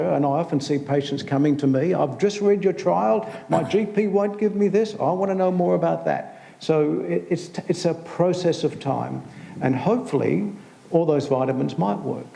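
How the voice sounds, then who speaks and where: A man, a clinician and researcher, answering on a panel in a measured, explanatory way with frequent restarts. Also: fairly high pitch, creaky, warm tone. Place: large hall. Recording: echoey hall.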